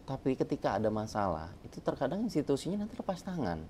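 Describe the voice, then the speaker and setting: A man's voice: mid-range voice, huge pitch swings, fast talker, warm tone, slight background noise. A man talking as a guest about bank appraisal work, casual and jokey with many fillers. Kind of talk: casual panel talk.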